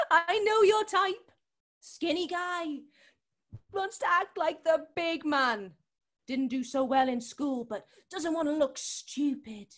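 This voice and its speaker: An actress plays a determined British woman in a stage drama, worried for her sister. Her voice sits high. She presses insistently and has a scornful edge. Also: animated, takes it slowly, pauses often, fairly bright tone, heavily acted. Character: worried, determined sister confronting a drug dealer. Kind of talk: acted scene from a drama showcase.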